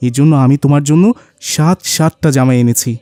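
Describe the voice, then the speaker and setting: A man's voice: a little high, fairly quick, warm tone, dry recording, natural voice. A man with a Bangladeshi accent voicing a rich, generous, boastful businessman father in a cartoon. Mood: proud, affectionate. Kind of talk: cartoon voice acting.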